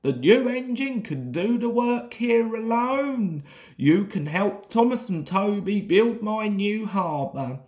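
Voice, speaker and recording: A bossy railway controller from a children's storybook, acted out by a woman. He booms out emphatic orders slowly and swings widely in pitch. He sounds stern and in command. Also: lively intonation, darkish tone. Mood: brisk, commanding.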